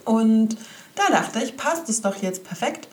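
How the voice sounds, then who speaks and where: A woman's voice: fairly high pitch, lively intonation, measured pace. A young woman hosting a casual solo podcast, stuffy-sounding from a cold, cheerful and chatty as she talks straight to the listener. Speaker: podcast host.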